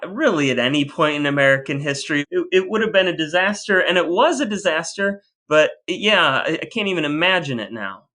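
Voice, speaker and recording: Bright-voiced man in casual chat. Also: a little high, lively intonation, brisk, few pauses. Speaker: podcast co-host.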